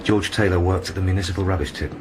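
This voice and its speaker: Guy doing an eager sergeant, low voice, talks fast, hiss behind. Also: fairly even intonation, lightly acted. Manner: eager, deferential. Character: earnest, deferential police sergeant.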